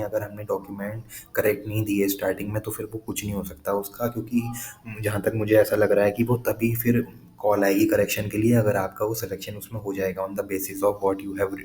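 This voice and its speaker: A man's voice: lowish voice, a little mellow. A man with an Indian accent explaining things informally to viewers as a video host, repeating points and mixing in English phrases. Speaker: scholarship guidance video host. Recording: clearly noisy background.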